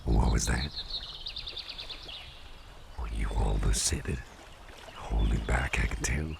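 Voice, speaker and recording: Male actor as calm businessman boss for ASMR roleplay: extremely low, unhurried, playful and soft, with clear ambient noise behind. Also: exaggerated voice. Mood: teasing, secretive. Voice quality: very deep, gravelly. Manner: soft, soothing, unhurried, often dropping near a whisper. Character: calm, playful businessman boss hypnotizing his employee with a pendant. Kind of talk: slow, intimate ASMR roleplay.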